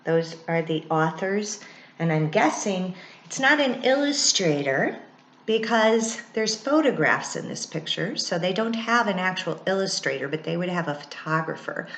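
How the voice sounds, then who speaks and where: A woman reading a picture book aloud in a teacherly way, pausing to explain things to children. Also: on the low side, lively intonation, fairly bright tone, a little hiss or hum. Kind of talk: read-aloud storytelling with informal explanations to children. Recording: echoey, roomy sound.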